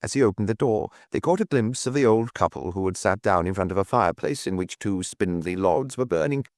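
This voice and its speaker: Low-voiced man narrating a detective story. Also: lively intonation, brisk, a little mellow, no room sound, clean studio sound. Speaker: audiobook narrator.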